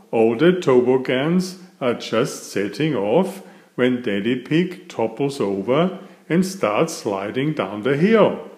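A man reads aloud for young children in a roomy recording. His delivery is slow and very lively in pitch, suited to picture-book storytelling. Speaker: picture book reader and author.

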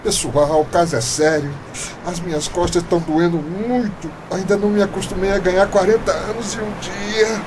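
A man's voice: sits high, fairly even intonation, moderate pace, close to an everyday voice. An actor playing an aching, complaining eighty-year-old man in a Brazilian radio drama, with theatrical groans. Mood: weary, complaining. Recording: noisy background ambience.

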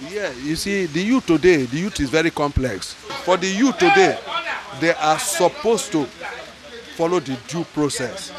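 A man's voice: a little high, animated, unhurried, over a noisy crowd. An older man with a Nigerian accent, answering a street interview in a rambling way with pidgin phrases, into a handheld mic outdoors. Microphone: handheld mic held by interviewer.

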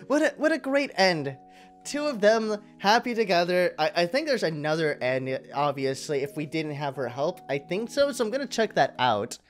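A young man in his twenties chats casually as he plays a game. His voice is fairly high and very animated. He is close to the mic, with game music underneath. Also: moderate pace. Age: 20s. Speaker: gaming YouTuber. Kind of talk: casual let's-play commentary. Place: small home room indoors. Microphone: close boom-arm studio microphone with headset.

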